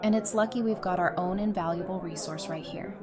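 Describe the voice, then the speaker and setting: A woman's voice: on the low side, fairly quick, a crowd in the background, lightly acted. Woman acting a playful, teasing young survivor. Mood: playful, warm. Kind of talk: fan audio drama acting.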